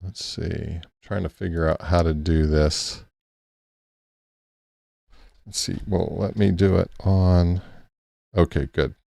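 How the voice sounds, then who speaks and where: A man hosting a casual ham radio live stream, thinking aloud in a halting way with many restarts as he walks through a map on screen. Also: deep voice, fairly even intonation, creaky, dark tone, dry recording, studio quality. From Texas.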